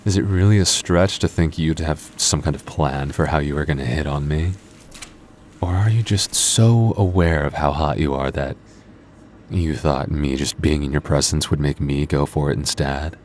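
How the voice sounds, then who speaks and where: A man acts out a cocky vampire coworker in an ASMR audio roleplay. His voice is extremely low, with a smug, flirtatious, dryly teasing delivery. Some background noise sits under the voice. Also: moderate pace, darkish tone. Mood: flirty, teasing. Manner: smug, flirty, dry teasing with sighs and chuckles. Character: cocky, teasing, moody male vampire convenience-store coworker. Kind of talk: intimate ASMR roleplay acting.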